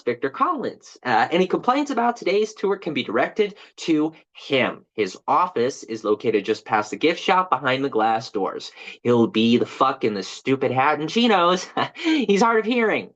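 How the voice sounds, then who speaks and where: A bitter male park guide performed by an actor holds forth in a theatrical monologue. His pitch leaps about expressively as he chats with passive-aggressive, mock-informative zeal. The audio is slightly muffled. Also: fairly bright tone. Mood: bitter, catty. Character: bitter, jilted, just-fired male park tour guide. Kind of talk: dramatic monologue reading as a tour speech.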